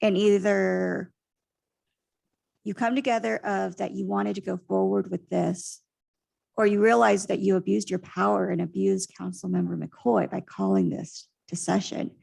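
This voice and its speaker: Local resident, female, soft and raspy, earnest public comment. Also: medium pitch, fairly even intonation, a little slow. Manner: earnest, pointed criticism.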